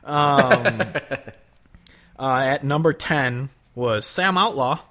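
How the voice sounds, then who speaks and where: A man's voice: mid-range voice, takes it slowly, a bit bright. A man co-hosting a casual podcast, reading from his notes and laughing often. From Northern US. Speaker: podcast co-host. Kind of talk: casual chat.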